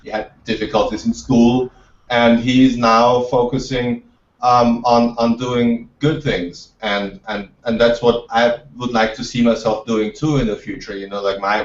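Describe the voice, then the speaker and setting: A man's voice: medium pitch, flat delivery, measured pace. A man from Austria, talking as an entrepreneur guest, keen and full of restarts and repeated words. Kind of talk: interview answers. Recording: dull, roomy, distant from the mic.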